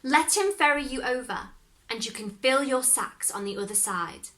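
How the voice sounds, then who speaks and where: A woman's voice: sits high, animated, normal speed, fairly bright tone, voice pushed up. A woman voicing a cheerful young fairy-tale hero in a storybook reading, earnest and sure.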